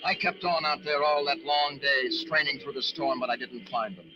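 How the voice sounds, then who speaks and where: An actor playing a desperate lighthouse keeper narrating a storm ordeal in an intense, breathless old-time radio drama style. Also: male voice, medium pitch, normal speed, lightly acted. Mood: drained, somber. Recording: muffled old radio recording with dramatic music.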